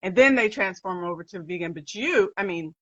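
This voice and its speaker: A woman's voice: medium pitch, animated, a little fast, no echo, lo-fi sound. A woman hosting an interview, asking questions in an enthusiastic, chatty way with casual slang. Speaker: podcast host.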